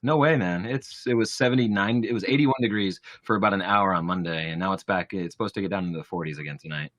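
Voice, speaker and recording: Deep-voiced man chatting easily. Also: fast, dry recording. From Tampa area, Florida. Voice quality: deep baritone. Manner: relaxed, rambling with fillers. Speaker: audiobook narrator. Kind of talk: casual live chat.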